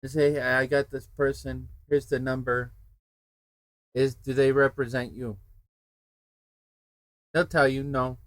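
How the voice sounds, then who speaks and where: An unhurried male game streamer from the United States, chatting with viewers in a loose, digressive way. His voice sits at an ordinary pitch and sounds close and dry, with no room around it. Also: flat delivery, dry recording. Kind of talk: casual rambling chat while streaming a game.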